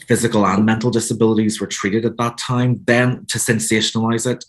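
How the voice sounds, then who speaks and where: Brief: Northern Irish male author, lower voice, thoughtful, halting restarts. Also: level tone. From Northern Ireland. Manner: thoughtful, hesitant, frequent restarts. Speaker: author guest. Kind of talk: casual interview chat.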